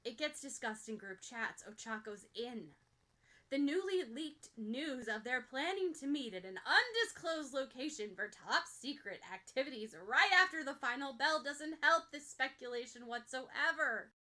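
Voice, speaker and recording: Female audiobook narrator, fairly high and bright, expressive scripted storytelling. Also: normal speed. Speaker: podfic narrator.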